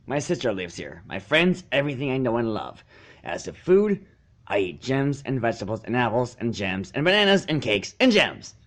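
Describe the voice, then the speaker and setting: A man voicing an earnest, gentle young male dragon who speaks in a careful, restrained, pleading way. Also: mid-range voice, close to an everyday voice.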